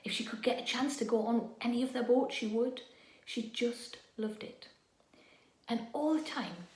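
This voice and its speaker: A woman telling a children's story expressively, with dramatic pauses and hushed moments, as a primary school storyteller. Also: mid-range voice, roomy sound, rough recording. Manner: dramatic pauses, dropping into hushed breathy tones.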